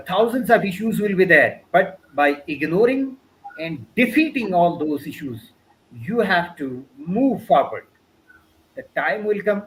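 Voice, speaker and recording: A man's voice: a little high, a little hiss or hum, rough recording. A man from Pakistan, a Balti speaker with a Pakistani accent, giving an earnest webinar talk over a video call, often using fillers like "you know" and "means". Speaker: guest lecturer. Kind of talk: webinar talk answering questions.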